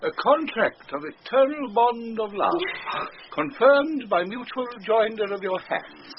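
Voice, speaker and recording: Solemn old priest, real high voice, slow and swoopy, radio shakespeare, slightly muffled. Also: male voice, animated. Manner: slow, ceremonious, intoning. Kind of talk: classical Shakespearean radio drama acting. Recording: dull, faintly noisy radio broadcast.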